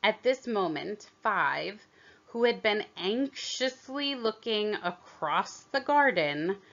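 A woman reading a children's story aloud in deliberate, expressive narration, on a slightly dull recording. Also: mid-range voice. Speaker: storybook reader.

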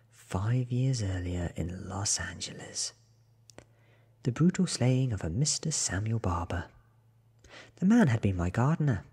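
A man in his thirties reading a book aloud in a soft, half-whispered ASMR style, with hushed, deliberate phrasing close to the mic. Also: lowish voice, creaky, slightly rough. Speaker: audiobook reader.

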